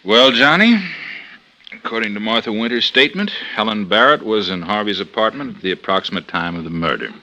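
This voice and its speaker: Casting: no-nonsense American homicide cop, old-time radio, rapid-fire talk, very hard bright tone. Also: male voice, on the low side, animated, poor audio. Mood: businesslike. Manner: businesslike, colloquial, no-nonsense. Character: businesslike male New York homicide police lieutenant. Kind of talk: 1950s radio drama acting.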